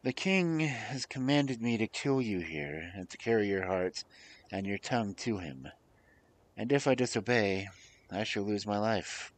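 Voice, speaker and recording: A man's voice: lowish voice, lively intonation, unhurried. A man voicing an honourable, troubled captain of the guard in a storybook. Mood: sorrowful. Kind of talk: storybook character voice.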